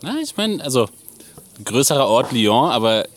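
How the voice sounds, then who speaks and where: A man who plays double bass in a band, talking casually and thoughtfully in an interview. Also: very animated, normal speed, a little hiss or hum.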